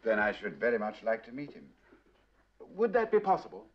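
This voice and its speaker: Wounded, proud British male painter, middle-aged, articulate and challenging, lively inflection, slightly muffled old film audio. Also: lowish voice, fairly quick. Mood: defensive, restrained. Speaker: actor. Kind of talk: 1960s horror film acting. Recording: dull old film soundtrack.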